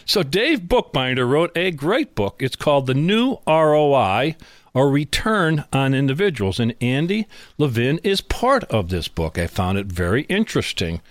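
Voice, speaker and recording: A man hosting a business interview in an informal, conversational way, sometimes pausing to rephrase himself. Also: mid-range voice, big pitch swings, no room sound. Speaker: interview host.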